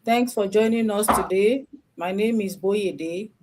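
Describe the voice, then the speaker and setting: A woman's voice: medium pitch, unhurried, a little mellow, slight background noise, poor audio. A woman with a Nigerian accent introducing herself as a co-host and mentor on a livestream.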